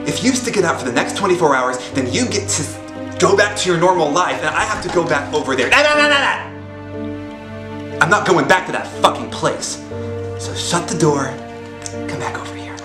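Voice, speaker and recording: An actor playing a menacing, sarcastic criminal who swings between quiet threat and outburst in a film drama. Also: male voice, on the high side, very animated, normal speed. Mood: insistent, menacing. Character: menacing, volatile, sarcastic male criminal boss. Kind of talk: dramatic film acting, profane dialogue. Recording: roomy echo, music behind.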